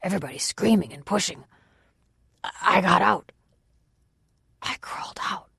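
A storybook narrator voicing an exhausted, shaken man of about thirty, halting and repeating words in a hoarse, breathless voice. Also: mid-range voice, lively intonation, bright, forceful tone, dry recording, studio quality, pitched above the performer's own voice, full character voice. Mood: dazed horror. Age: 30s.

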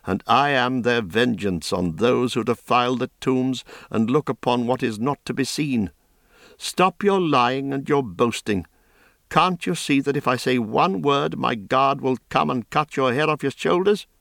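Proud, threatening young priestess, read by a man pitching up, slow delivery, dry sound. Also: vocal fry, a bit bright, dry recording. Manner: scornful, threatening. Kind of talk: audiobook character reading.

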